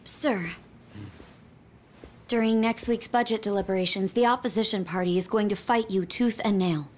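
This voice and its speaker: An actress playing a dutiful political aide in a video game, speaking in a formal, businesslike way. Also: female voice, mid-range voice, normal speed, natural voice. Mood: polite, businesslike. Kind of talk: English video game voice acting.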